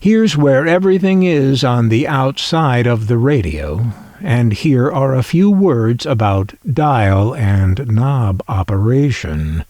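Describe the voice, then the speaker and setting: A man hosting a collector video, calmly describing an old item and reading from its manual, with wry little asides. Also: medium pitch, slow, no echo. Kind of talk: unhurried descriptive show-and-tell.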